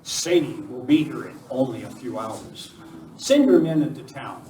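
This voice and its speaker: A man's voice: medium pitch, expressive, normal speed, warm tone, natural voice. A man playing a heroic, resolute masked cowboy lawman in a live radio western. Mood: commanding. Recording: distant mic in echoey hall, faint noise.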